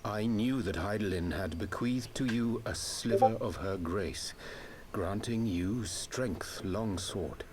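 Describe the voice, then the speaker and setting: Deep, slow, solemn archaic scholar. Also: male voice, a little mellow, slight background noise. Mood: solemn confession. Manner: measured, formal, archaic diction. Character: solemn, grave male scholar speaking in archaic, ornate phrases. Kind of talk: scripted video game voice acting.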